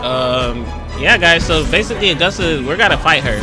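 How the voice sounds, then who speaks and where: A man's voice: a little high, expressive, brisk. A young man hosting a gaming video, giving casual, rambling gameplay commentary in informal slang. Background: game music.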